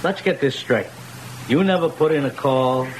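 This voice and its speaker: An actor playing a skeptical, no-nonsense police officer in a 1940s-style radio drama, questioning deliberately. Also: male voice, mid-range voice, natural voice. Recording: very noisy old radio drama recording.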